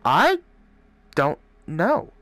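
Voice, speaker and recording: Animated man muttering through a game. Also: a little high, drawn-out words. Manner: puzzled, muttering to himself. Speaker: video game streamer, host. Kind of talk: casual let's-play commentary. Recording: faint noise, keyboard and mouse clicks.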